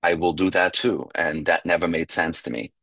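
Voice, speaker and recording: A young man with an extremely low, deep voice shares his life story at a recovery meeting. He grew up speaking Yiddish in New York and talks in a reflective, halting way. The sound is dry and close. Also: fairly even intonation, vocal fry, a bit bright, no echo. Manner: reflective, halting, with fillers and restarts.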